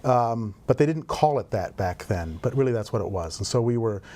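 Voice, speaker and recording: A middle-aged man talks through his background in an easy, unhurried interview. His voice sits at an ordinary middle pitch. He pauses often and fills the gaps with "uh" and "um". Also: lively intonation, darkish tone. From grew up in Indonesia and Indiana. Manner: thoughtful, rambling with fillers. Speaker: volunteer program director. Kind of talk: conversational interview.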